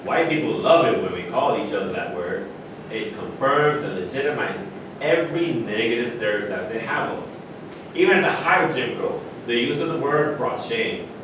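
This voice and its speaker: A proud retired athlete, played by a man, argues with self-assurance in a staged play reading. The sound comes from far off in a very echoey room, over clear rumbling noise. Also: natural voice. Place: live staged reading in a room, distant microphone.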